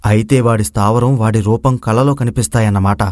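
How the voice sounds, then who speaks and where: A man's voice: on the low side, fairly even intonation, fairly quick, darkish tone, no room sound, studio quality, close to an everyday voice. A man playing a brave, clever young prince in a storybook tale, speaking formally and confidently. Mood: pondering. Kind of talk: Telugu moral story voice acting.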